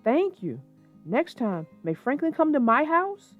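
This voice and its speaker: Storybook bear cub, read by a female narrator: young, polite, soft-hearted, lilting delivery, lo-fi recording. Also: high-pitched, very animated, unhurried, pitched above the performer's own voice. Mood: grateful. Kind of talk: children's storybook character reading. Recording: dull lo-fi sound, guitar music.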